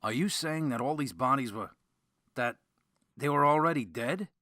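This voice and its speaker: A man acts as a skeptical sidekick to a detective in a modern radio play. He is plain-spoken and full of questions, his mid-pitched voice moving animatedly. The sound is dry. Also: lively intonation, moderate pace, pushed and bright, dry recording. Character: blunt, skeptical male detective's partner, down-to-earth. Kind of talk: modern audio drama acting.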